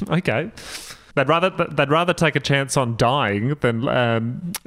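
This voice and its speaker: A man's voice: medium pitch, slow pace, fairly bright tone. A man as a podcast guest, reading a story aloud and breaking off for sardonic comic commentary. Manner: sardonic, interjecting jokes.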